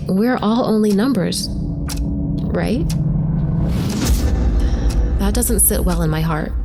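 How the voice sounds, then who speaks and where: A woman's voice: mid-range voice, a little mellow, a little hiss or hum, close to an everyday voice. An actress playing a troubled young woman in a reflective, questioning dramatic inner monologue. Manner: reflective, rhetorical, searching. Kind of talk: dramatic introspective monologue voice-over. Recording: voice-over over prominent music. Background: music.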